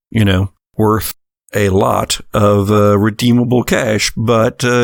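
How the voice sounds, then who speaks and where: A man working as game master, improvising narration for a tabletop horror game in halting, deliberate phrases. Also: fairly low pitch, no echo, clean studio sound.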